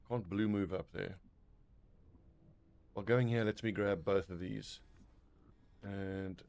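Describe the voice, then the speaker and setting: A man's voice: on the low side, creaky. A man casually commentating his own strategy game play, thinking aloud and swearing now and then. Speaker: gaming video host.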